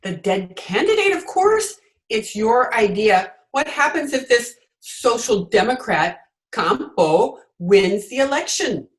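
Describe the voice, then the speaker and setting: Radio drama senora female actor: skeptical, exasperated, high voice, dramatic animated delivery. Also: takes it slowly. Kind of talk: old-time radio drama acting. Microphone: video call.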